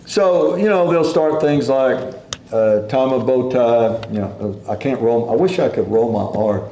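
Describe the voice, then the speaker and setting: Slow, mellow-voiced preacher man. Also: mid-range voice, reverberant, slight background noise. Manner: unhurried, folksy preaching with scripture quotes. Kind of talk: sermon.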